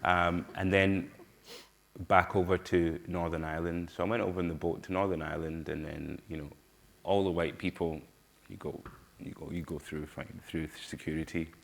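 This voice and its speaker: A middle-aged man from Scotland speaks with a broad Glaswegian accent. His voice sits very low, and he talks earnestly to a conference audience. He stops and restarts his sentences often. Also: fairly even intonation, gravelly, a bit bright. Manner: earnest, halting, many restarts. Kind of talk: personal storytelling talk.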